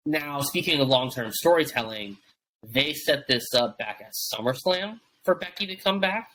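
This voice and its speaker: A man's voice: mid-range voice, fairly bright tone, rough recording. A young man co-hosting a wrestling podcast, chatting casually and rambling with lots of fillers and restarts. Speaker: podcast co-host.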